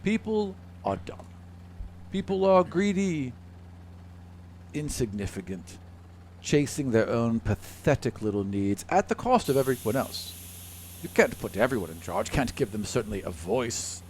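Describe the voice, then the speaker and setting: A man playing a haughty, contemptuous demigod in a measured, condescending manner for a tabletop game. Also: medium pitch, big pitch swings, a little mellow, slight background noise.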